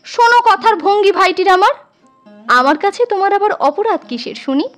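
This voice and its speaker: Woman voicing a tender, high-voiced doting elder sister-in-law. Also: lively intonation, moderate pace, faint music behind. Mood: teasing, affectionate. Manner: affectionate, emotional, coaxing.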